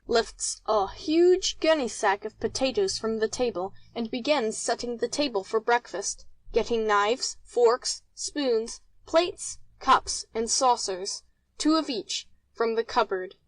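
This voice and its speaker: A woman's voice: a little high, animated, slow, no echo. A woman narrating, reading stage directions from a script.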